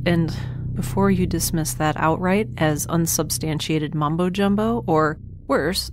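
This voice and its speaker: A woman playing a weary, wry scientist stranded in space, speaking a halting, deliberate and wordy log entry for an audio drama. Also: sits low, a little mellow, slight background noise. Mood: weary, uneasy.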